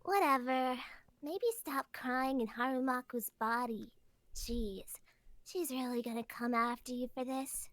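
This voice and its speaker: A woman's voice: high voice, a little slow, fairly bright tone, dry recording. A woman voicing an exasperated, slangy teenager in an anime comic dub, blunt and grumbling. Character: exasperated, slangy teenager stuck in wrong body.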